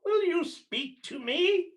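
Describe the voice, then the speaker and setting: A humbled old miser pleads in a radio drama. His voice is high for a man and drags slowly, with halting, theatrical dread. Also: expressive, exaggerated voice. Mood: dread, pleading. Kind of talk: radio play acting.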